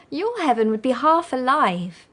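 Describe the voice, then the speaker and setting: An actress playing a spirited, wilful teenage girl of the gentry in a period audio drama, impetuous and expressive. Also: high voice, slightly rough, full character voice.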